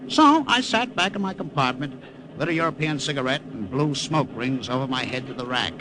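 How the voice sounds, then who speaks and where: An actor playing a secret agent, narrating in the first person in a 1940s radio drama, wry and stammering when nervous. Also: male voice, medium pitch, lively intonation, a little fast, background noise, lightly acted. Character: American secret agent posing as an Italian salesman.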